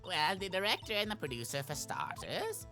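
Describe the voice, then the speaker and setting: A man plays an old woman called to the stand in a courtroom game. The voice veers wildly up and down, indignant and gossipy. It rambles and scolds in a huff over clearly audible music. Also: sits high, sing-song, normal speed, bright, forceful tone, pitched above the performer's own voice, heavily acted. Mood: grudging explaining.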